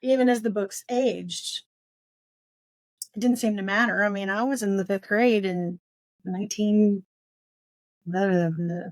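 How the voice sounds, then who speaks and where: Halting woman podcast host, dry sound. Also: mid-range voice, fairly even intonation, no room sound. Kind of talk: casual book discussion.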